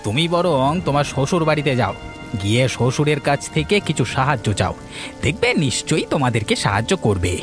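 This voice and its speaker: A man's voice: mid-range voice, big pitch swings, brisk, over music, natural voice. A man playing a helpful, concerned village elder in a cartoon folk tale, asking questions and giving advice. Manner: advising, inquiring.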